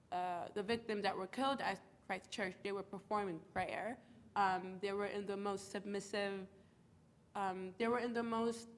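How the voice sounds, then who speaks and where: Stuffy-nosed woman speaking on a panel. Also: mid-range voice. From United States (the Bronx, New York). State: stuffy from a cold. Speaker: panelist, community organizer. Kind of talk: panel talk.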